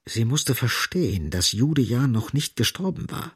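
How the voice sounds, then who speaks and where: An actor as the grave, ironic narrator of a literary radio play, deliberate and insistent, with a husky, creaky voice. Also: male voice, mid-range voice, very animated, dry recording, clean studio sound, exaggerated voice. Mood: grave, brooding.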